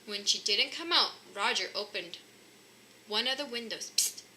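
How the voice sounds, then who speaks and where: A woman reading a children's storybook aloud in an animated narrating style. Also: medium pitch, moderate pace. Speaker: storybook reader. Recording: faint background noise.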